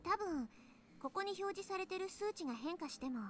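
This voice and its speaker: A woman's voice: very high voice, animated, fast talker. A woman playing a breezy young time traveler from the future in a visual novel game. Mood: explaining. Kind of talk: Japanese visual novel voice acting.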